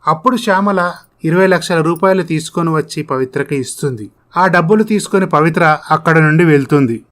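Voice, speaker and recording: Storyteller with a medium-pitched voice. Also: a little fast, a bit bright. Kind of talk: scripted story narration.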